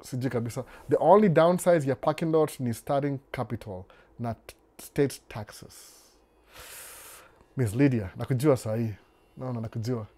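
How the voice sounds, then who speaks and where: A man hosting a casual live stream. He answers viewer questions in a relaxed, unhurried way and moves freely between Swahili and English. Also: medium pitch. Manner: reads viewer comments aloud and responds to them.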